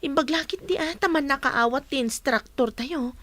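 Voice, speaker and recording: Casting: relaxed Filipino college friend, young woman, fairly high voice, close dry recording, radio drama. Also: normal speed, no echo. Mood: reassuring. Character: easygoing, reassuring young female college student.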